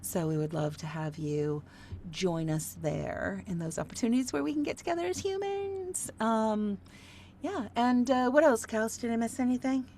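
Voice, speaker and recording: A woman's voice: a little high, moderate pace, a little mellow, a little hiss or hum. A woman hosting an online workshop, talking casually with many fillers and easy laughs. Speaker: host.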